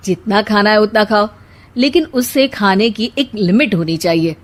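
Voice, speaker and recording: A calm, placating young cartoon husband, put on by a woman narrator. He speaks at a middle pitch and smooths things over gently and patiently. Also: normal speed, close to an everyday voice.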